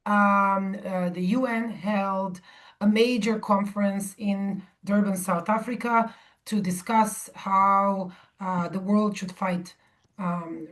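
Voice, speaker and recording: Woman, from Serbia, 40s, audience talk, measured, hesitant fillers, middle pitch. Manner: measured, with frequent ums and restarts. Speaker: guest speaker.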